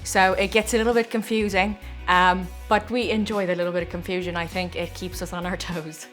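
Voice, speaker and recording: Woman, low calm voice. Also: fairly quick, faint music behind. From South Africa. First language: Afrikaans. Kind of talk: documentary interview.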